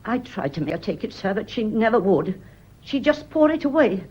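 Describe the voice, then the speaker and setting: An actress playing a spirited housekeeper in a radio drama, deferential on the surface but defensive. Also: female voice, medium pitch, sing-song, a little fast, darkish tone, breathy edge. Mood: flustered, defensive. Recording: dull, muffled old radio recording.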